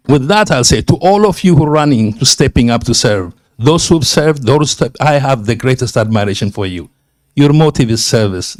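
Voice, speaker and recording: A man with an Ethiopian accent giving an earnest, emphatic public speech at a civic meeting, halting often between phrases. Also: mid-range voice, no echo. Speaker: community member seconding a nomination.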